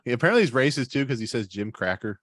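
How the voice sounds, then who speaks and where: A man's voice: mid-range voice, big pitch swings, rapid-fire, a bit bright, no echo, studio quality. A man hosting a podcast, discussing a TV episode casually and rambling with lots of "like" and "I mean.". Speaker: podcast host.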